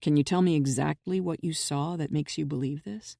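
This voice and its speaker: A steady, guarded man who talks in short, measured lines, as a female narrator puts him on. The voice is lowered and mellow. It is recorded close and dry, as in an audiobook. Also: creaky, dry recording. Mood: questioning. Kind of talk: audiobook character reading.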